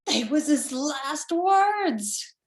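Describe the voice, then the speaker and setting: A woman playing an awed, excitable pirate crew member in a radio play, speaking in sea dialect. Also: high-pitched, drawn-out words, full character voice. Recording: roomy, echoing home recording.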